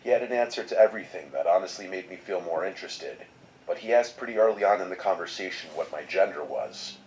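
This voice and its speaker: Deep-voiced man reading a story. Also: fast, a little mellow, a little hiss or hum, low-quality recording. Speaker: horror story narrator. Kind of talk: scripted first-person narration.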